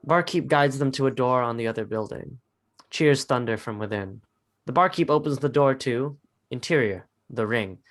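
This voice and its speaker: A man with a medium-pitched voice narrating stage directions in a dramatic script reading. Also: moderate pace, a bit bright.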